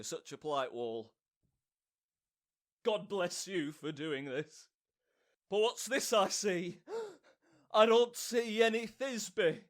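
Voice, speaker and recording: A man's voice: high-pitched, a bit bright. A man playing a melodramatic amateur actor who hams up a tragic lover in an overwrought audio drama style. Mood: grateful, then dismayed. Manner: overwrought, drawn-out. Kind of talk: theatrical audio drama acting.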